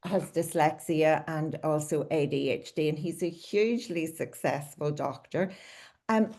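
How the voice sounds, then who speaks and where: A woman's voice: low-pitched, unhurried, mellow. A woman hosting an interview podcast, asking questions and adding long, affirming remarks of her own. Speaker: podcast host.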